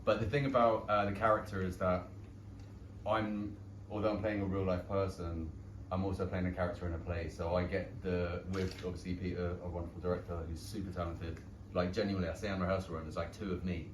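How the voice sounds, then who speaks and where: Young man, London Irish accent, deep, lively anecdotes, distant mic, noisy roomy venue. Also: brisk. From London and Dublin. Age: young adult. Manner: chatty, self-deprecating, lively storyteller. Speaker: stage actor. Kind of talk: casual post-show talk. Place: small pub theatre, indoors. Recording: echoey room, distant mic, background noise.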